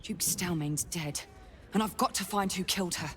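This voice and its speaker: A woman's voice: on the low side, normal speed, background noise, lightly acted. A woman playing a dutiful city guard investigator in a game, clipped, official and dryly wry. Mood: pensive, businesslike. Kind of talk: video game dialogue.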